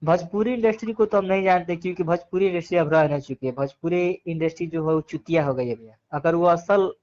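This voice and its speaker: Man, Bhojpuri accent, fairly high voice, earnest argumentative interviewee, repeats himself for emphasis, dull lo-fi call recording. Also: fast, a little mellow. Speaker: interviewee giving opinions. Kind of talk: casual phone interview. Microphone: phone call.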